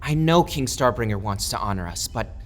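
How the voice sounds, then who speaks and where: An actor playing a troubled, conscience-stricken young man in an audio drama, earnest and halting with a bitter edge. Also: on the low side, lively intonation, a bit bright. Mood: hesitant, troubled.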